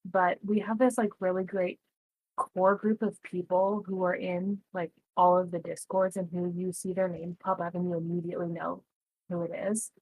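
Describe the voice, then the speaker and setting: A woman's voice: fairly low pitch, level tone, moderate pace, darkish tone, poor audio. A woman in her early thirties co-hosting a podcast, talking earnestly and reflectively in casual chat. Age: 30s. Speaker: podcast co-host.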